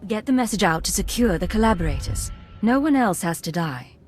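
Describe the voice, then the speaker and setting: A woman's voice: mid-range voice, expressive, fairly quick, faint music behind, natural voice. An actress playing a cool, self-assured female undercover agent in a video game, composed, guarded and dryly confident. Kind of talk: video game dialogue acting.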